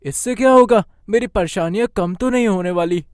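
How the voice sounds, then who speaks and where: A man's voice: sits high, brisk, no room sound, lightly acted. Man acting a troubled young son, earnest, worried, dry studio sound, cartoon drama. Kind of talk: Hindi moral-story cartoon dub.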